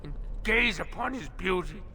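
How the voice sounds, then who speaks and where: An actor playing a half-mad, frightened scavenger hermit in a video game, stammering, muttering and repeating himself in broken speech. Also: male voice, high-pitched, lively intonation, moderate pace, crowd noise. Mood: cringing, haunted. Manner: stammering, repetitive, muttering in broken third person. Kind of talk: video game character acting.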